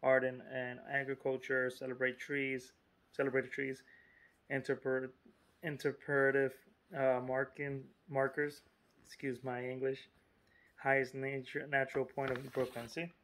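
Guy talking casually to his viewers, lots of "you know" and "look", normal middle pitch. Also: completely flat delivery, unhurried, a bit bright. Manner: chatty and informal, lots of fillers and asides. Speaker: vlogger. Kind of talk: casual vlog narration.

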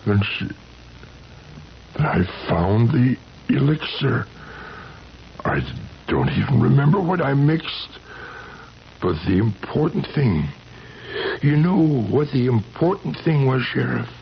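An actor playing an anguished old husband in a 1970s radio drama, speaking in a halting, dramatic way on a muffled, hissy recording. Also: male voice, mid-range voice, sing-song, vocal fry, exaggerated voice. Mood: stricken, fervent. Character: anguished old man accused over his young wife's death.

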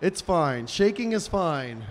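A man's voice: a little high, sing-song, takes it slowly. A young man hosting a live stage at a big convention hall, talking to the crowd in an upbeat, chatty way on a handheld mic. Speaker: stage host.

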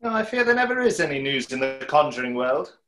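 Man playing a lively, wry stage conjurer. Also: a little high, fast. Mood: dry, wry. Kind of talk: staged play reading over video call. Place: room at home, video call.

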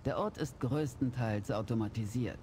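An actor playing a steady, businesslike male ally giving briefings in a fantasy video game. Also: medium pitch, normal speed, slight background noise, natural voice. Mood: serious, informative. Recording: loud game music behind the voice.

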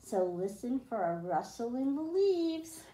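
A middle-aged woman with a slightly high voice leads a storytime for young children. She goes slowly, in simple and deliberate phrases. The indoor room around her adds a clear echo. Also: big pitch swings, dark tone, slight background noise. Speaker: children's librarian, storytime host. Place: small library room with bookshelves, indoors. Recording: roomy, echoing indoor sound.

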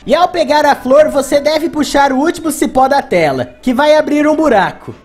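A woman's voice: high-pitched, animated, moderate pace, a little mellow. A woman with a Brazilian accent narrating video game play as a reviewer, with music behind. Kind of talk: gameplay commentary narration.

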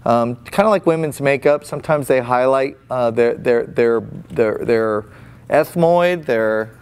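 Chatty man explaining face painting, smooth voice. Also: medium pitch, unhurried, darkish tone. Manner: chatty, folksy, many restarts. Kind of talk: casual demonstration commentary.

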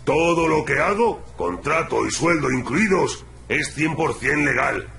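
An actor playing a big, tough, defensive gorilla bodyguard in a video game. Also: male voice, on the high side, animated, unhurried, vocal fry, fairly bright tone, reverberant, exaggerated voice.

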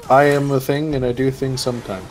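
A man's voice: mid-range voice, level tone, a little fast. A man in his late twenties chatting casually and playfully, a little sleep deprived, as a guest on a gaming stream. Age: late 20s. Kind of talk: casual chat. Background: game music.

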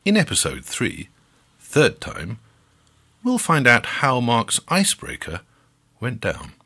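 Expressive male storyteller with slightly husky voice. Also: medium pitch, slow. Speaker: storyteller and podcast narrator. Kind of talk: scripted storytelling narration.

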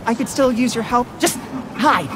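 A man playing an eager, romantic young student in a video game, excitable, chatty and sincere. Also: very high voice, moderate pace, noisy background. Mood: excited, grateful. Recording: loud music over the voice. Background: loud game music.

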